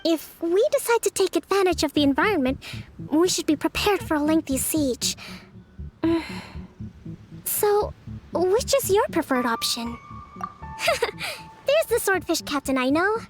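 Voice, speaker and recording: A woman voicing a young priestess and strategist in a video game, speaking in a measured, polite, analytical way. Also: very high-pitched, big pitch swings, soft background music, exaggerated voice. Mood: calm.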